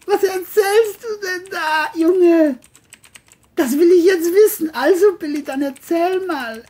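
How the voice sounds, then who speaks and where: A woman's voice: very high voice, takes it slowly, dark tone, pitched above the performer's own voice. A woman voicing an abusive, sneering man, a mean bully with a menacing, mocking manner, in an audio crime drama. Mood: falsely friendly.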